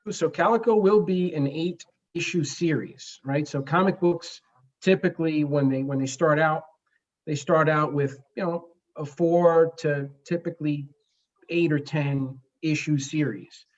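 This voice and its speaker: The voice is a man's in his forties, pitched a little high, on a slightly muffled, lo-fi recording. He grew up in the Bronx with Spanish as his first language. He talks like an interview guest, earnest and halting, often pausing mid-phrase to find the next word. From the Bronx, New York, United States. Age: 40s. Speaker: guest, comic book creator. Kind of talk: conversational interview.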